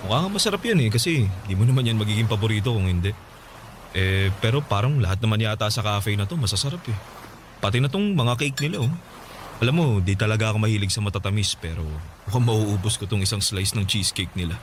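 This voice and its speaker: An actor plays an easygoing Filipino single dad of about thirty-five in a radio romance drama. His low voice is casual and chatty, with halting pauses. Clear background noise sits behind him. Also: lively intonation, lightly acted. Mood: joking, relaxed. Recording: noticeable noise and ambience behind voice.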